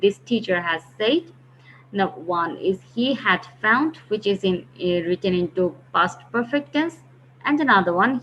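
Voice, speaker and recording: A woman teaching a grammar lesson, patiently explaining step by step and slowing down to read example sentences. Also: a little high, a bit bright, lo-fi sound, a little hiss or hum. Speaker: English teacher.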